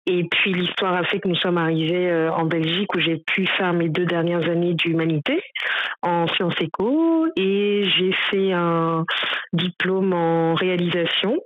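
A woman's voice: on the low side, a little slow, no room sound, phone-quality sound. A woman from Burundi, living in Belgium, answering interview questions as director of a cultural organization, hesitating often with fillers and restarts.

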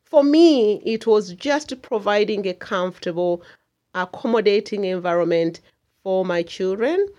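A woman's voice: mid-range voice, lively intonation, unhurried, dark tone. A middle-aged woman from Uganda, speaking earnestly and emphatically as a community association chair in a radio interview.

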